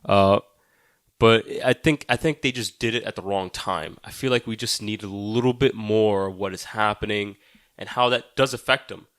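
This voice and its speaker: A man's voice: lowish voice, moderate pace, fairly bright tone, dry recording, clean studio sound. A man co-hosting a film podcast, talking casually with swearing, emphatic and argumentative, often repeating himself to press his point. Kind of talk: casual, profane film discussion.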